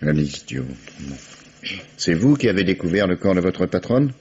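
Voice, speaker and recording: Husky, ironic police commissioner. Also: male voice, low voice, big pitch swings, darkish tone, heavily acted. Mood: probing. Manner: dry, teasing, unhurried questioning. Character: dry, ironic middle-aged police commissioner. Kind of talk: 1950s radio drama acting. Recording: dull old radio drama recording.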